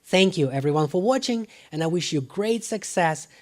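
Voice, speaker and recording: A man presenting a scripted product review to camera in an emphatic, deliberate way. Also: high-pitched, expressive. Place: living room at home. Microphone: dedicated microphone.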